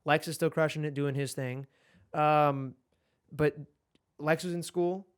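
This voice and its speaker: A man's voice: on the high side, fairly even intonation, measured pace, clean tone. A man in his twenties co-hosting a podcast, talking casually and rambling with many restarts. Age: 20s. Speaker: podcast co-host. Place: in Los Angeles.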